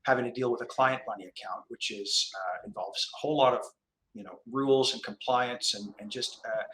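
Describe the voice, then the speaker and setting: A middle-aged man with a Canadian accent, a commercial lawyer explaining his career in a relaxed interview, measured and hesitant with many restarts. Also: medium pitch, rough recording.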